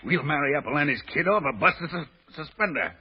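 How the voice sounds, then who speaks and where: A brash, slangy gangster boss from an old American radio play, with an American accent. The voice is rough and husky and leaps around in pitch. The recording is muffled and dated. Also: male voice, big pitch swings, fairly quick, exaggerated voice. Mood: eager, excited. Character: tough, gruff, slangy New York gangster boss. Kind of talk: 1930s-40s American radio drama acting.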